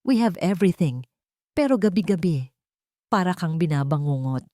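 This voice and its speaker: A woman's voice: on the low side, expressive, normal speed, mellow, no echo, studio quality, natural voice. A woman voicing a cold, taunting wealthy wife in a story, irritated and goading, switching between Tagalog and English.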